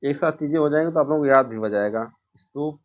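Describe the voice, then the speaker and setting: A man's voice: a little high, fast talker. A man giving an informal exam-prep lesson, explaining by asking questions and answering them himself. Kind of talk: informal lesson walking through quiz questions. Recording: dull, muffled lo-fi sound.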